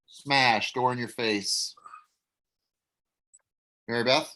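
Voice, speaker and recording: A man's voice: mid-range voice, fairly even intonation, extremely slow, sharp tone. A man reading stage directions and coaching actors at a table read.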